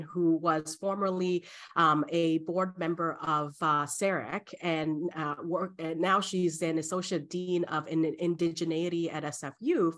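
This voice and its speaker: A woman's voice: lowish voice, fairly bright tone. A middle-aged woman from Hong Kong who grew up partly in Canada, answering interview questions conversationally as a guest. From born in Hong Kong, in Canada from age ten. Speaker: interview guest, career educator. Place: small bright room at home, video call.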